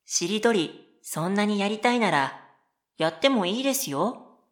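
A woman voicing a polite, whimsical and stubborn middle-school girl in a comedic radio drama, deadpan and following her own rhythm. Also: mid-range voice, normal speed, natural voice. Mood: coyly insistent. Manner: polite speech, deadpan, self-paced.

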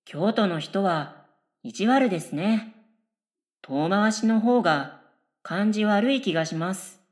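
A woman playing an oddly polite, daydreaming middle-school girl in a radio comedy, musing absurdly in earnest, deadpan, polite speech. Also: medium pitch, takes it slowly. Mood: matter-of-fact curious. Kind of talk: scripted radio comedy dialogue.

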